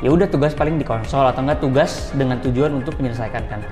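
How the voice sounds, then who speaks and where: A young man talks very quickly as he answers casual interview questions. He explains step by step in informal wording. His voice rings in a roomy, reverberant space with music clearly behind it. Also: medium pitch. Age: 20s. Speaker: interview guest, IT specialist.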